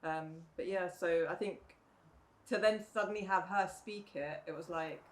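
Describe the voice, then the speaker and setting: A middle-aged woman, a mother talking reflectively in a casual podcast chat, often pausing with "um" and "you know". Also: on the low side, fairly even intonation. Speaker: guest, mother of the other guest. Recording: faint background noise.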